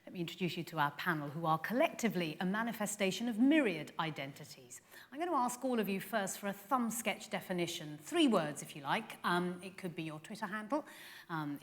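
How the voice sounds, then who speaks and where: Female debate host, slightly low pitch, live panel moderation, long hall reverb. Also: animated, fairly quick. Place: radio theatre stage.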